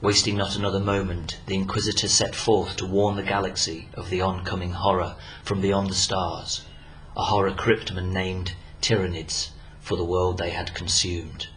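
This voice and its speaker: A man's voice: low voice, level tone, normal speed, slight background noise, poor audio. A man narrating a scripted war story in a solemn, portentous, dramatic manner. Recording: loud music under the voice.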